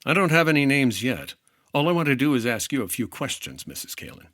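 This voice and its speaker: An actor playing a wry, persistent 1950s insurance investigator in the dry, clipped style of a radio detective drama. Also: male voice, medium pitch, big pitch swings, brisk, a bit bright. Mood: firm, probing.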